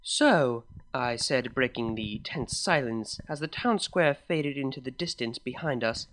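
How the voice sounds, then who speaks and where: A man reads a first-person fan story aloud in a steady, even voice. His pitch sits in the middle, neither high nor low. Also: measured pace. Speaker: story reader.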